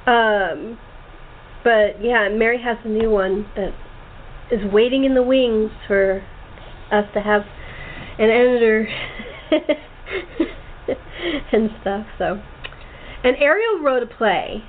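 A woman's voice: a little high, takes it slowly, long pauses. A woman hosting a casual cast interview with a radio drama group over a remote call. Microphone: phone or voice call. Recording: tinny, noisy phone-line call.

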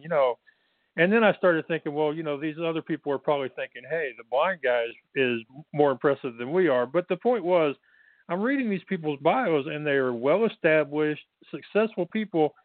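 A man's voice: on the high side, no echo. A chatty man with a Texan drawl talks in a slightly raised voice, rambling with fillers and restarts. The sound is tinny and phone-like and completely dry. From Texas. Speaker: guest author. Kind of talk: casual interview. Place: bedroom at home.

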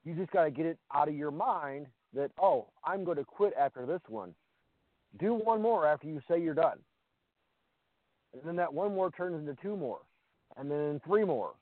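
A man's voice: a little high, animated, normal speed, dry recording. A man in his late thirties talking on a radio show in an upbeat, coaching way about pushing through workouts. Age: 30s. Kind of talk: casual radio chat. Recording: dry, tinny phone-like line.

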